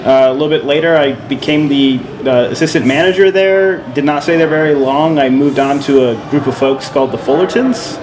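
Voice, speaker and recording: A male winemaker co-hosting a podcast, telling his story in a relaxed, rambling way with many fillers. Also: a little high, brisk, hardly any pauses. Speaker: winemaker and co-host. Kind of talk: casual podcast chat. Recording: steady background noise.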